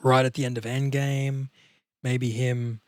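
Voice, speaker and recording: A man's voice: mid-range voice, flat delivery, a little slow, no room sound, studio quality. A man chatting casually as a podcast co-host, informal and bantering with plenty of slang.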